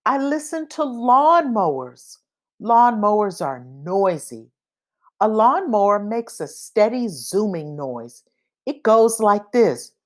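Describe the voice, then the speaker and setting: A woman reading a children's picture book aloud in a deliberate, expressive manner. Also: medium pitch. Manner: deliberate, expressive, acts out sound effects. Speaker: read-aloud reader.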